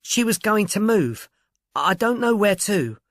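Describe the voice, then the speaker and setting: Man voicing a timid, deferential young working woman, lifted pitch. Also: sing-song, measured pace, fairly bright tone, dry recording, studio quality, heavily acted. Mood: helpful, deferential. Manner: hesitant, polite, earnest. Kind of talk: audiobook character dialogue.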